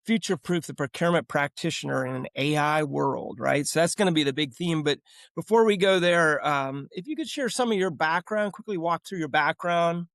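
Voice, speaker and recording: A man's voice: fairly high pitch, a little fast, gravelly, fairly bright tone, no room sound. A man hosting a business podcast, introducing his guest and asking questions in a friendly, loosely rambling way. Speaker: podcast host. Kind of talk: interview hosting.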